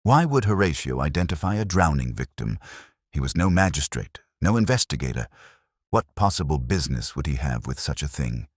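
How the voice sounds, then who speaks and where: A man voicing a proper, hesitant Victorian widow in a hushed, deliberate, formal manner for a storybook. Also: extremely low pitch, sing-song, breathy edge, no echo, studio quality, full character voice. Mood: puzzled, recalling.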